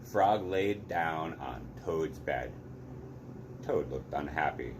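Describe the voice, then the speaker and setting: Deep-voiced man reading a story aloud. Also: expressive, slow, a little mellow, a little hiss or hum. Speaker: read-aloud host. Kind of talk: expressive storybook narration.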